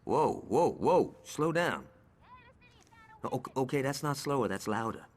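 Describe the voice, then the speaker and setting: An actor playing a cocky, hot-headed young gangster with a brash, sarcastic, profane way of talking in a game cutscene. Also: male voice, mid-range voice, big pitch swings, a little slow. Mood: sardonic. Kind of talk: video game cutscene acting.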